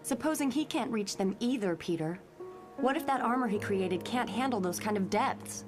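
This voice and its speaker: A woman acts as a perceptive young superheroine in a motion comic. She speaks frankly and probingly in a medium-pitched voice. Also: expressive, moderate pace, over music, natural voice. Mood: worried.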